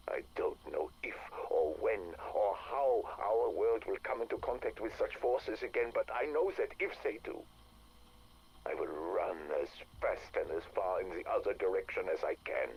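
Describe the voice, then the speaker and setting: An actor playing a weary, guilt-ridden male soldier who speaks low and resigned. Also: creaky, dull audio, a little hiss or hum.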